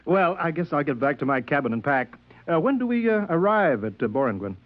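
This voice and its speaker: An actor playing a smooth, self-important, faintly sinister American man in 1950s radio drama. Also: a little high, big pitch swings, no room sound. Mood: genial, probing. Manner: hesitant pauses with "uh", then insistent, pronouncing. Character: smooth, self-important, sinister male passenger, a collector of voices. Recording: muffled old radio broadcast recording.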